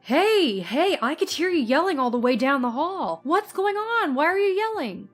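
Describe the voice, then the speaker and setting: Placating husband played by a female reader, concerned and urgent, dramatized family story, lightly pitched up. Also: big pitch swings, moderate pace, faint music behind. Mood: alarmed.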